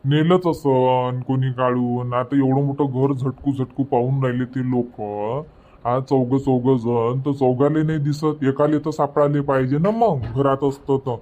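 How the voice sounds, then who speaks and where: A man's voice: medium pitch, steady tone, a little slow, dark tone, close to an everyday voice. A man voicing a village father and husband in a comedy cartoon, talking colloquially in Varhadi Marathi and repeating his points. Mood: concerned. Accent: Varhadi Marathi from Vidarbha.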